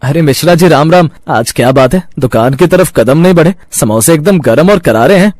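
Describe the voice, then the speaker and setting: A man's voice: on the high side, animated, moderate pace, a little mellow, no echo. Man playing an earnest young-hearted samosa vendor, dry sound. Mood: friendly. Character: hardworking, caring samosa vendor, a devoted husband. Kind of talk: acted dialogue in a Hindi moral cartoon story.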